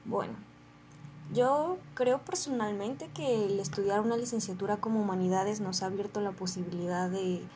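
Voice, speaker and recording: Young mexican student talking thoughtfully, clear noise behind her. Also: medium pitch, moderate pace, dark tone. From Guadalajara, Mexico. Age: young adult. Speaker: university student. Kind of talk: podcast guest statement. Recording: noisy background.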